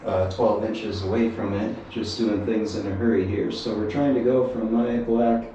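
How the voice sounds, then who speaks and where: A man's voice: on the low side, fairly even intonation, moderate pace, dark tone, highs cut off. A man giving a casual, unscripted gear review, hesitant and thinking aloud as he goes. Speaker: product reviewer. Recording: faint background noise.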